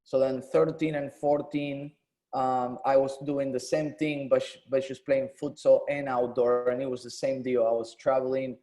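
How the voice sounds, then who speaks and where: A man in his thirties from Argentina, a former player and coach, telling his story in a relaxed, conversational interview with frequent "um"s. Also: mid-range voice, moderate pace. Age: 30s. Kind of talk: casual interview.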